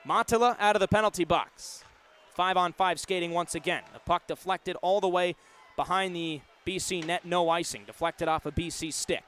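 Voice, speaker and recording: A young man in his twenties works as a hockey play-by-play announcer on radio. His voice sits fairly high for a man. He narrates the action live in short, vivid bursts. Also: normal speed, a bit bright. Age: 20s. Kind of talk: live radio sports commentary.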